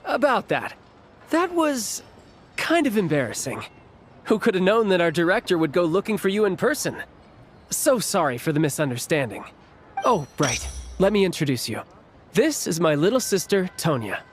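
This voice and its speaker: A man's voice: high voice, sing-song, moderate pace, a little hiss or hum. A man voicing a cheerful, teasing young big brother in a game cutscene, breezy and familiar. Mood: sheepish, friendly. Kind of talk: animated game cutscene acting.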